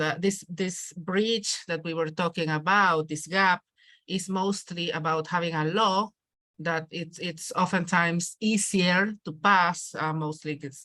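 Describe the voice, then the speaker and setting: A woman speaking off the cuff in a panel discussion, pausing and searching for words as she explains. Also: sits low, a bit bright, no echo.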